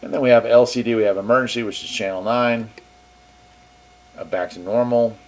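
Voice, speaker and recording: A man's voice: mid-range voice, steady tone, a little fast, poor audio. A man hosting a casual gear demo in an easygoing, upbeat way. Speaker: host reviewing radio gear.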